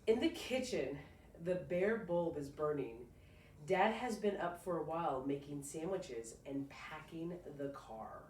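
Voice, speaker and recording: A woman teacher reading a picture book aloud to a child, pausing to explain and prompt with encouraging questions. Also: deep voice, lively intonation, a little mellow. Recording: roomy, distant mic, faint noise.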